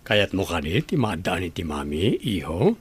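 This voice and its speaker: A man playing a calm, kindly father and boss in his thirties in a radio drama. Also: low-pitched, moderate pace, husky, full character voice. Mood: gentle, fatherly.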